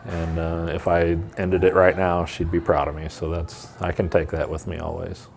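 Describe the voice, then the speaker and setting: Very low-voiced man; reflective adult student and manager in a documentary interview, who lets his sentences fade out. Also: little pitch movement, normal speed, gravelly, a little hiss or hum.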